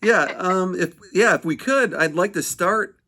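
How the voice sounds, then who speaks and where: A man's voice: high voice, measured pace, sharp tone. A man conducting a casual phone interview, asking informal, rambling questions.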